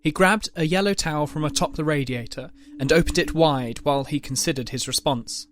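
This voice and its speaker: A man's voice: on the high side, a little fast, a bit bright. A man narrating a scripted dramatic story as the narrator of an audio drama.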